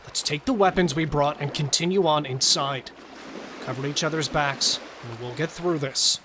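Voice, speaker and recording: A man's voice: a little high, normal speed, background noise, lightly acted. A man playing a cautious but joking killer and robber who steadies his partner, in horror audio drama dialogue. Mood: reassuring, firm. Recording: music and effects under the voice.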